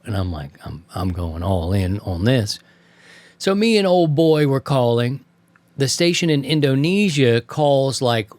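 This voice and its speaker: A man's voice: on the low side, no echo. Man telling casual stories, very dry sound. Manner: colloquial, slangy, acts out remembered exchanges. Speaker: amateur radio show host. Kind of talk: casual anecdotal storytelling to co-hosts.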